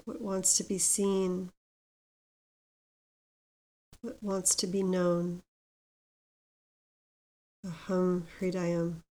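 A woman leads a guided meditation in a lowish voice with very little rise or fall. Her delivery is gentle and unhurried, with space left between the instructions. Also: monotone, rough recording. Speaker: meditation guide, podcast host. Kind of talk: guided meditation with mantra chanting.

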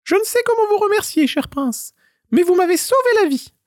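A man voicing a small, polite male squirrel in a very high, quick voice. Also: expressive, no echo, studio quality. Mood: pleading. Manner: squeaky, rapid, polite.

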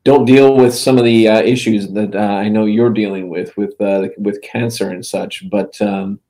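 A man in his fifties, a game studio head and guest, giving relaxed interview answers with many "uh" and "um" pauses. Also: fairly low pitch. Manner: halting, full of "uh" and "um" fillers.

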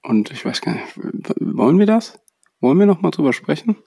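A man's voice: on the high side, very animated, brisk, vocal fry, dark tone, husky, no room sound, clean studio sound. A man with a cold hosting a casual podcast chat, hesitant and full of fillers. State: has a cold. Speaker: podcast host. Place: holiday house.

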